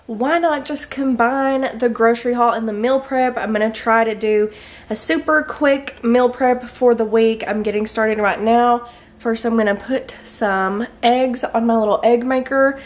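A young woman in her twenties chatting casually about her groceries in a home kitchen, bubbly and quick to laugh. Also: fairly high pitch. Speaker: lifestyle vlogger. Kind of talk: casual grocery haul chat.

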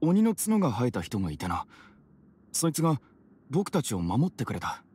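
Mild father, animated intonation. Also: medium pitch, moderate pace, slight background noise. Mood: uneasy, explaining. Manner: earnest, explanatory. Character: mild, sensible father, an ordinary townsman. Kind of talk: anime game voice acting.

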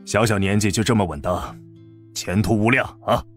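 A man's voice: fairly low pitch, steady tone, normal speed, soft background music, close to an everyday voice. An actor playing a genial middle-aged businessman with a smiling, avuncular manner in an audiobook drama.

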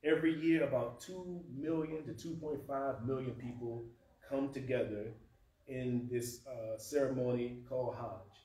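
A man's voice: mid-range voice, a little slow. Man in his thirties, roomy and distant. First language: not Arabic. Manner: conversational, animated, gesturing at a lectern. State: dry mouth from fasting. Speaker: speaker teaching at a mosque. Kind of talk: informal teaching talk. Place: carpeted prayer room indoors. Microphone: far camera. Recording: roomy, distant camera sound with faint hum.

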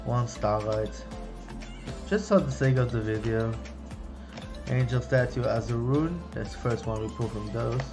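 A young man giving casual running commentary as he opens trading card packs, chatty, excited on big pulls and low on misses, recorded at home on a desk mic. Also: measured pace, long pauses, over music. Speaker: card-opening youtuber. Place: home room, indoors.

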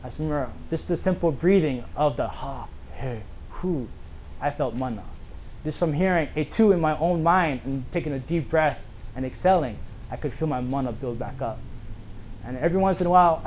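A man in his thirties from Hawaii, telling a casual, earnest personal story to a small audience in a bookstore, animated and full of "you know" fillers. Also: a little high, slight background noise, rough recording. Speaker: author giving a talk.

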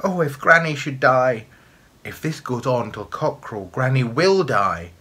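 A man's voice: medium pitch, expressive, measured pace, bright, forceful tone, slightly rough, voice pushed up, full character voice. A man voicing a terrified young granddaughter in a storybook, anxious and pleading. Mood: terrified, desperate. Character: terrified young granddaughter fretting for her granny.

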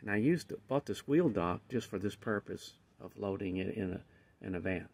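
Gravelly low-voiced older man explaining. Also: lively intonation, normal speed, darkish tone. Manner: rambling, hands-on explaining. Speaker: channel host. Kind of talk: casual demonstration. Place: garage with van, indoors and outdoors.

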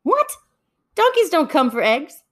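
A woman voicing a friendly, speedy male hare in a storybook, brisk and exclaiming. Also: sits high, very animated, fairly bright tone, pitched above the performer's own voice, full character voice. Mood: startled, hurried.

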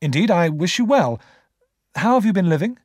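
A man voicing a polite, guarded young gentleman in his twenties in an audiobook, formal and emphatic. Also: high-pitched, very animated, normal speed, no echo, clean studio sound, pitched above the performer's own voice.

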